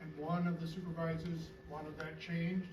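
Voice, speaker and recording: A man's voice: fairly high pitch, little pitch movement, moderate pace, dull audio. A man in the audience asking questions at a public meeting, trailing off as he talks. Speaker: audience member. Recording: echoey room, distant mic, audible noise.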